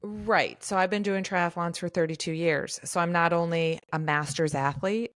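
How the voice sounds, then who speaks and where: A woman in her fifties, a triathlon coach co-hosting a podcast, speaking in a measured, matter-of-fact way. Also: lowish voice, steady tone, no room sound, clean studio sound. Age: 50s. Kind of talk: casual podcast conversation.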